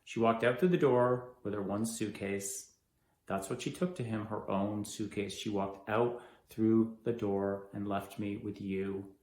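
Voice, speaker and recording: A man's voice: on the low side, moderate pace, pitched below the performer's own voice, natural voice. A man acting a bitter, proud, betrayed husband and stepfather in a dramatic stage monologue. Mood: bitter, hurt. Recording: echoey room.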